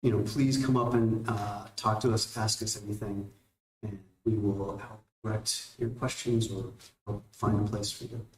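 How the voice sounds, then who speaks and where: A man's voice: fairly low pitch, steady tone, moderate pace. A man, a board member, giving casual opening remarks at a community gathering. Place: community meeting hall. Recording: distant mic in a roomy hall.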